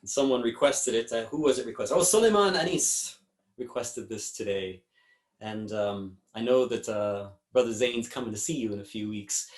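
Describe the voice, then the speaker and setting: A man, a singer-songwriter, chatting warmly and apologetically to his audience between songs. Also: on the low side. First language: English. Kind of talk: live concert talk between songs. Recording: roomy with guitar music.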